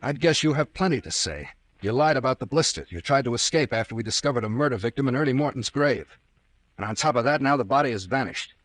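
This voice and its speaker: An actor playing a stern, sardonic police captain who presses hard with questions in old-time radio drama style. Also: male voice, mid-range voice, expressive, quick pace, fairly bright tone, no room sound. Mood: stern, accusing. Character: stern, relentless police captain interrogating a suspect.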